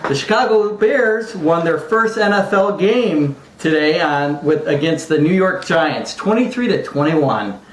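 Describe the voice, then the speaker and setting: A man's voice: on the high side, expressive, measured pace, hardly any pauses. A man giving an informal mock sports report, rambling and stumbling with self-deprecating asides. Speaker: amateur sportscaster, sidekick co-host. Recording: echoey room, faint background noise.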